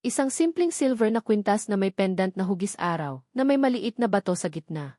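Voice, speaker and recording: A woman reads a dramatic story in a steady, measured way, her pitch sitting in the middle range. The recording is close and completely dry, with no room echo. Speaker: narrator. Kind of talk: scripted audio drama narration.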